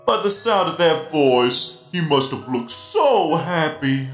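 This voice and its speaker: A performer voicing a polite, theatrical animatronic DJ robot for a comic dub, with drawn-out delivery. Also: medium pitch, expressive. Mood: wistful. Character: friendly, flustered music-loving animatronic DJ robot. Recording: echoey, faint music behind.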